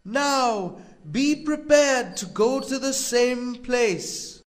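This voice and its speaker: A man voicing a sly old male crane in a children's animated story, theatrical and wheedling. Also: very high voice, extremely slow, voice pushed up, heavily acted. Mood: gloating.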